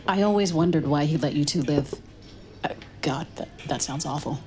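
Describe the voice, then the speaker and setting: An actress playing a wary, grieving widow in an audio drama, blunt and sardonic in naturalistic delivery. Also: female voice, deep voice, moderate pace, a little mellow, faint music behind, lightly acted. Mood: guarded, exasperated. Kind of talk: naturalistic audio drama acting and first-person narration.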